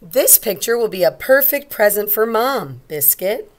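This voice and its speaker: Caring little girl for a children's storybook, played by a woman, emphatic and raised in pitch. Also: expressive, unhurried, natural voice. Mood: cheerful, eager. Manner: bright, emphatic read-aloud delivery. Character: caring little girl talking to her puppy.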